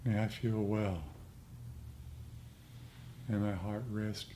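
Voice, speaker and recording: A man gently instructing a meditation group in an informal, conversational way. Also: fairly low pitch, level tone, slow. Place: indoor meditation group meeting. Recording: clearly noisy room recording.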